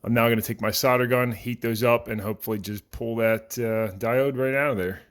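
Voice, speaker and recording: A man's voice: medium pitch, steady tone, fairly quick, smooth. A man giving a casual, plainspoken how-to explanation as the host of a hands-on repair tutorial. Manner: plainspoken, informal.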